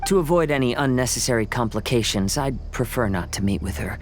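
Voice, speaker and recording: A man voicing a calm, polite, thoughtful young wanderer in a video game, with composed and measured phrasing. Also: mid-range voice, faint music behind, lightly acted. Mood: courteous, gentle. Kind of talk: video game character acting.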